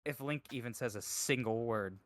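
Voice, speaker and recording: A man's voice: medium pitch, moderate pace, fairly bright tone, no room sound, clean studio sound. A man on a podcast panel, casually ranting in exaggerated exasperation and repeating himself for comic effect. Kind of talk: casual comedic chat.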